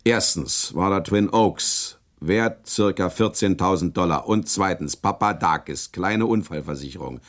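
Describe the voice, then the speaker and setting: Deep, gravelly, mocking prosecutor. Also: male voice, measured pace, hardly any pauses. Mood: smugly pressing. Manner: needling, interrogating, sardonic. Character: shrewd, hard-boiled, mocking male district attorney. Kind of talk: radio crime play dialogue.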